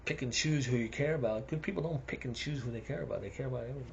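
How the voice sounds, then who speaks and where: A man's voice: mid-range voice, rapid-fire, creaky, a little mellow, dull audio, slight background noise. A man with a South African accent rambling through a casual monologue, chuckling between his thoughts.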